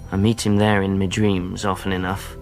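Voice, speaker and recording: Low, reflective sailor narrator. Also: male voice, flat delivery, normal speed, faint music behind. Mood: haunted, reflective. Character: reflective sailor narrator recalling a youthful adventure. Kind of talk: radio drama narration in rustic speech.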